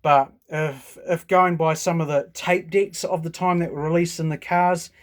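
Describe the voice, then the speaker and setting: A man's voice: on the high side, steady tone, normal speed. A man with a New Zealand accent giving a casual, hands-on show and tell, rambling and thinking aloud as he goes. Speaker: hobbyist radio reviewer. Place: indoors at a home workbench.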